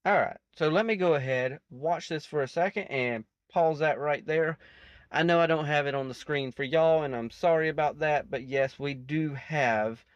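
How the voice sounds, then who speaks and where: A man's voice: mid-range voice, smooth, dry recording. A man giving casual, off-the-cuff reaction commentary in a rambling, self-interrupting way. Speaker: reaction video host.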